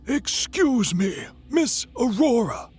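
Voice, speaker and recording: A woman's voice: fairly high pitch, very animated, slow, darkish tone, soft background music. A woman playing a formal, unflappable courier to a vampire prince in an audio drama, speaking politely and measuredly. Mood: formal, composed.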